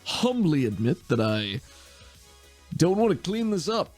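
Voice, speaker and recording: A man's voice: a little high, big pitch swings, unhurried, a little mellow, faint music behind, pitched above the performer's own voice. A man voicing a pompous, self-important male partner with a grandiose, falsely humble manner.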